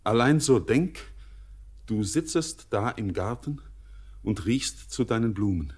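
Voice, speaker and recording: An actor playing a haunted, yearning young stranger in a classic radio drama, declaiming poetic in a solemn, intense, husky voice. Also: male voice, fairly low pitch, animated, measured pace, darkish tone.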